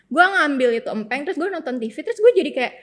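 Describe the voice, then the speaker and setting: A young woman co-hosting a podcast, telling childhood stories in a casual, animated way and laughing often. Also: sits high, fast. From Indonesia. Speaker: podcast co-host. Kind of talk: casual chat. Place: podcast studio.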